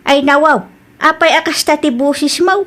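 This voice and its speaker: A woman acts out an older village storekeeper in an audio drama, motherly and a little nosy. Her voice is fairly high, with an Ilocano accent, and she speaks plainly. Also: normal speed, fairly bright tone. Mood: concerned.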